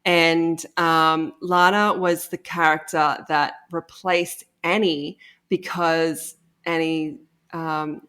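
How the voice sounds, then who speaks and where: An Australian woman speaks as an interview guest. Her voice sits a little low. She chats casually and informally, with a joking manner. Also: flat delivery, slow pace, a bit bright, clear, resonant tone. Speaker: guest, audio drama co-creator.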